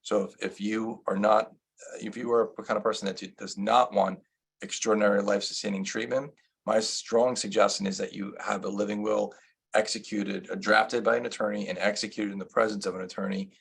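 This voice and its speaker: A man's voice: lowish voice, little pitch movement, brisk, gravelly, a bit bright, breathy edge. A man presenting a legal webinar as an attorney, explaining the subject plainly and putting guiding questions to a colleague.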